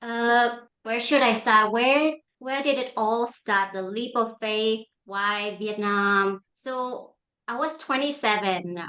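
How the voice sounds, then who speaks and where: A woman's voice: on the high side, slow pace, sharp tone. A woman in her thirties from Denmark, a coach talking as an interview guest over a video call, chatty and often tagging her lines with "right?". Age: 30s. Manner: chatty, tags lines with "right?" and "you know". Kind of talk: casual interview answers.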